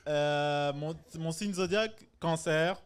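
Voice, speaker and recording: A man's voice: a little high, takes it slowly, smooth. A man in his thirties, originally from Senegal, talking as a comedian guest on a live podcast. He jokes, laughs often and restarts his sentences. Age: 30s. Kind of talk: casual live podcast chat. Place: live venue before a stand-up show.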